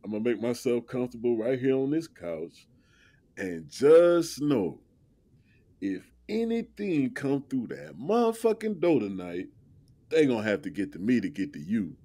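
A man's voice: mid-range voice, big pitch swings, moderate pace, mellow. An American actor playing a protective young man on a date, speaking earnest, slangy dialogue in an audio drama. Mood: reassuring, protective.

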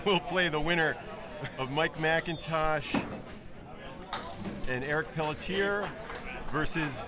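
Excitable male bowling commentator, noisy alley. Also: a little high, slow pace. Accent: New England. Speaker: play-by-play commentator. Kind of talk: live sports commentary. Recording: loud crowd of bowlers behind.

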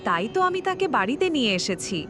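A woman's voice: on the high side, very animated, quick pace, over music. A woman voicing a kind young schoolgirl in a cartoon, earnest and pleading. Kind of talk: cartoon dialogue acting.

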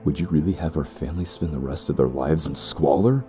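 A man's voice: rumbling low, fairly quick, darkish tone, soft background music. A man playing a cold, condescending and ruthless warrior clansman in an audio roleplay, speaking in a haughty, formal way. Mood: angry, forceful. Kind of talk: scripted audio roleplay acting.

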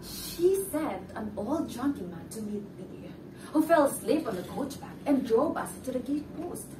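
A young woman playing a cool, confident woman with a criminal secret on stage, speaking deliberately and emphatically. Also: fairly high pitch, very animated, music in the background. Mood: dramatic recounting. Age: young adult. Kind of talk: theatrical stage acting. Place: indoor living-room stage set. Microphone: far camera. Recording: echoey room, distant mic, background noise.

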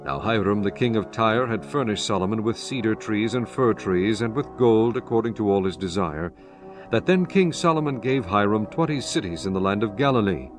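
A man narrating dramatized scripture in a solemn, measured, declamatory way, as a scripted reading. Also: fairly low pitch, lively intonation. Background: music bed.

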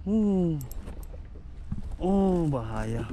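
A young man fishing from a kayak at sea, exclaiming and groaning excitedly as he talks to his action camera. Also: a little high, drawn-out words. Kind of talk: casual first-person fishing vlog. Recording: outdoor wind and water noise.

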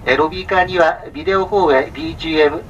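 A man's voice: on the high side, fairly bright tone, far from the mic. Muffled Japanese train conductor on the PA, male. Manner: formal, polite, measured listing with small filler sounds. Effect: public address speaker. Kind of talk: onboard public address announcement. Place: inside a moving sleeper train, over its speakers. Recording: muffled train PA from afar, vehicle noise.

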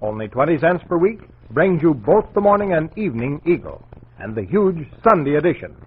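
Old timey 1940s american radio announcer guy, formal and declaiming, very lively intonation, thin tinny sound. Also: a little high, fairly quick, slightly rough.

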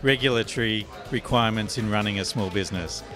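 A man in his fifties, a business adviser, answering in a steady, matter-of-fact way. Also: lowish voice, fast. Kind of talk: vox pop interview answer. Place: indoor function room. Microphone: handheld interviewer mic. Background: background music.

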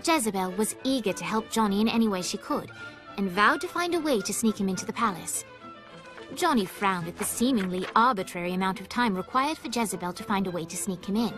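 A woman playing a wry, formal storybook narrator in a video game, measured and tongue-in-cheek. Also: mid-range voice, lively intonation, music in the background, close to an everyday voice. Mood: wry, storytelling.

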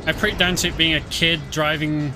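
A man's voice: fairly high pitch, a little fast. Chuckling man commenting over game music. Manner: relaxed, chuckling explanations. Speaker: streamer. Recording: game music and sounds behind.

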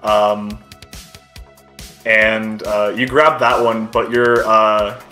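Slow, halting male game master. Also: fairly low pitch, flat delivery, pushed and bright, soft background music. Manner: halting, descriptive. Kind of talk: improvised tabletop game narration.

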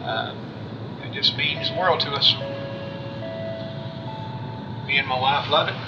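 A man's voice: mid-range voice, moderate pace. A man hosting a gaming channel, chatting casually and gratefully to viewers while he plays at home. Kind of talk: casual gameplay commentary. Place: indoor room at home. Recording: roomy, dull, with loud background noise.

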